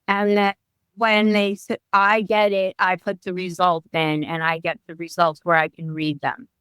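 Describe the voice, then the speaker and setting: A woman's voice: on the low side, flat delivery, moderate pace, fairly bright tone, clean tone, dry recording. A woman in her fifties, a wellness coach talking as a guest in a podcast interview, chatty and often restarting her sentences. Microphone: remote call.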